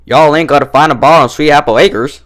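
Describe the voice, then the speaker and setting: A man's voice: fairly high pitch, brisk, a bit bright, voice pushed up, lightly acted. A man voicing a feisty, stubborn young female farm pony from a cartoon, with a folksy Southern American drawl. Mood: puzzled, folksy. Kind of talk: cartoon fan fiction character reading.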